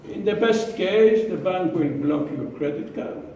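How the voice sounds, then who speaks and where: Slow, deliberate man in an echoey room. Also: sits high, warm tone, distant mic, slight background noise. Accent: Israeli. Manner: slow, deliberate, with pauses and repeated words. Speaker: club speaker. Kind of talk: prepared speech. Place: meeting room.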